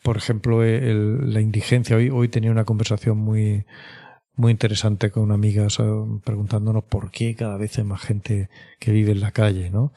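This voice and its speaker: A man with a Spanish accent from Spain talks in a low, gravelly voice with a mellow tone. He explains things conversationally, like an expert being interviewed, and keeps checking that the listener follows. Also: little pitch movement. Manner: measured, explanatory, often seeking agreement with tag questions. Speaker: clinical psychologist, interview guest.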